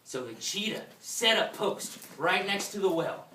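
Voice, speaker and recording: A woman's voice: mid-range voice, animated, unhurried, sharp tone, slight background noise. Woman storyteller in an echoey room. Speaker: storyteller leading a children's puppet show. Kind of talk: live storytelling to children, prompting repeats. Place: live puppet show in an echoey room. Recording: echoey room, distant mic, music.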